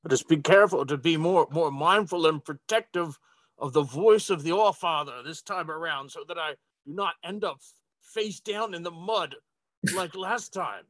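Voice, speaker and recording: A man's voice: on the high side, moderate pace, fairly bright tone, no echo. A man playing a tabletop roleplaying game, speaking in character with formal, lofty phrasing.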